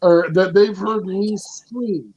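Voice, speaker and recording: A man performing a loud, rambling, self-mocking stand-up set over a video call. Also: high-pitched, fairly even intonation, slow, slightly rough, lo-fi sound. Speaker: stand-up comic in residence.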